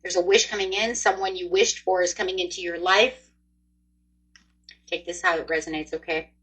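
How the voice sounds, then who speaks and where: Woman, forties, breathy voice, tarot reader, chatty, upbeat, talks to viewers. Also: fairly low pitch, fairly even intonation, moderate pace, fairly bright tone, rough recording. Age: 40s. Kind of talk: casual tarot card reading.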